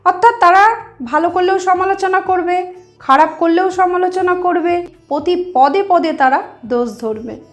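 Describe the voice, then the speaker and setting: A woman's voice: extremely high pitch, normal speed, soft background music. A woman narrating a moral story as a channel host, explaining things directly to her listeners.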